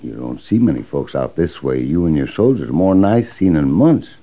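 A man's voice: rumbling low, sing-song, normal speed, warm tone, exaggerated voice. An actor playing a wary, grudging frontier farmer in a radio Western, folksy and evasive as he grumbles. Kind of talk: old-time Western radio drama acting. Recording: dull old radio recording, faint noise.

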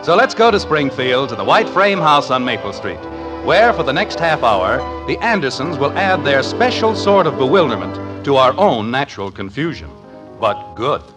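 A man's voice: medium pitch, very animated, normal speed. A man announcing and narrating a scripted vintage radio comedy in a polished, wry style. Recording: vintage radio broadcast with background music. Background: music.

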